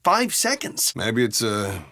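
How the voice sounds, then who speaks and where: A man's voice: mid-range voice, huge pitch swings, slow pace, sharp tone, dry recording, studio quality, full character voice. A man playing a brash, griping American city cop in video game banter, talking in a colloquial, wry and profane way. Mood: dry, griping. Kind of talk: casual in-game banter dialogue.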